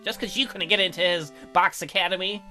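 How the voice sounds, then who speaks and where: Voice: mid-range voice, brisk, sharp tone. A let's play co-host acting out game dialogue, bantering in a mock-indignant way. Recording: background music under voice.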